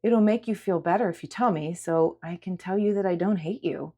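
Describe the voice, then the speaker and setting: A woman voicing a caring, patient woman who reassures with gentle insistence in a dramatic audiobook. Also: lowish voice, fairly quick, lightly acted. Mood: gently insistent. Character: caring, patient woman comforting an upset girl. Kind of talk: dramatic audiobook character acting.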